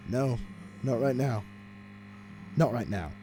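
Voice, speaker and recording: A man playing a calculating, commanding gang boss in an audio drama, speaking in a measured, deliberate, authoritative way. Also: medium pitch, noisy background, lightly acted. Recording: music under the voice. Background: dramatic music.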